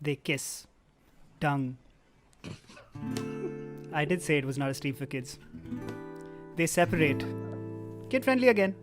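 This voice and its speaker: A man narrating stage directions from a script in a deadpan, wry way, with comic asides. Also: on the high side, a little slow, long pauses. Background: live guitar music.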